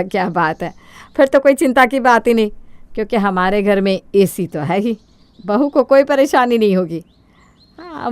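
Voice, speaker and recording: A woman's voice: medium pitch, smooth, no room sound, lightly acted. Woman voicing a sly, greedy middle-aged mother-in-law. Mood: gushing, coy. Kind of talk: Hindi cartoon moral-story dubbing.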